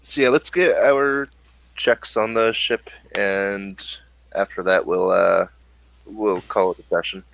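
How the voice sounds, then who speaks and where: A man with a gravelly voice narrating casually as the game master of a tabletop game. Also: lowish voice, steady tone, takes it slowly, a bit bright, dry recording.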